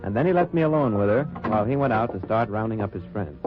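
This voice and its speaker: A man playing a cool, watchful undercover agent who narrates his wartime mission in measured, wry radio drama style. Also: lowish voice, lively intonation, natural voice. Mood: suspenseful, watchful. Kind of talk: 1940s-50s radio drama acting, first-person narration. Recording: muffled old-time radio recording with music.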